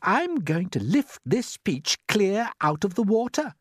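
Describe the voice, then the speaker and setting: A man's voice: on the high side, big pitch swings, moderate pace, slightly rough, no echo, clean studio sound, voice pushed up. A man voicing an earnest, determined, polite young boy in a children's story.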